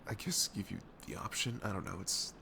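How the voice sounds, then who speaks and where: A man playing a tender, nervous best friend in an intimate ASMR roleplay, speaking softly and hesitantly in a low, gravelly voice close to the mic. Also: fairly quick, noisy background. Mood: nervous, earnest. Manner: hesitant, stammering, soft-spoken.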